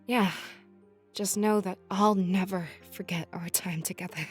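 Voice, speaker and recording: A woman voicing a tender, earnest furry companion in a visual novel, soft and sincere. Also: medium pitch, fairly even intonation, unhurried, husky, soft background music. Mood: affectionate.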